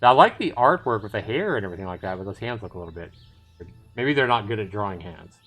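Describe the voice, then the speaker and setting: A man's voice: on the low side, lively intonation, fast talker, fairly bright tone. A man hosting a livestream, chatting informally about a game and reading its text aloud. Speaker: livestream host. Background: game music.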